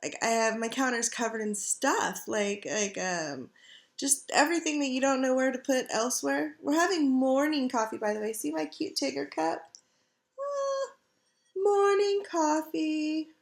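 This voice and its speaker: Middle-aged woman vlogger, raspy sore throat, slightly high pitch, loose rambling delivery, home webcam sound. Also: lively intonation, a little slow. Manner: rambling, chatty, frequent ums and throat clearing. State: scratchy irritated throat. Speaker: craft vlogger. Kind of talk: casual chat to webcam. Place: living room couch at home. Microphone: computer webcam.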